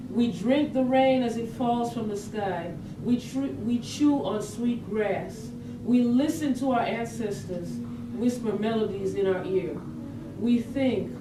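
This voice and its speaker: A woman acts a serene spiritual grandmother reciting a poetic invocation very slowly, in a medium-pitched voice. The stage reading sounds echoey and distant. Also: lightly acted. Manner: deliberate, chant-like short phrases. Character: serene elder Nana, spiritual matriarch invoking ancestors. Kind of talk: incantatory poetic stage monologue. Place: indoor room at a staged reading. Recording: echoey, noisy room, distant mic.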